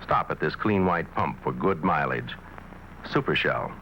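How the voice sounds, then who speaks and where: Deep guy narrating an old tv commercial, noisy background. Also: lively intonation, unhurried, pushed and bright. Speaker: commercial announcer. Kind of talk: scripted vintage TV ad narration.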